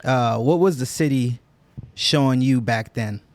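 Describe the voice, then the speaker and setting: A man's voice: mid-range voice, measured pace, no echo. A man hosting a podcast interview, asking questions in a laid-back, friendly way. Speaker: podcast host.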